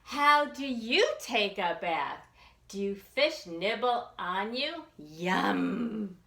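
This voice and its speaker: Bright, very animated woman reading storytime. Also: mid-range voice, drawn-out words, gravelly, roomy sound. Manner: expressive, sing-song questioning. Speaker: children's librarian hosting storytime. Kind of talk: animated picture-book read-aloud for young children.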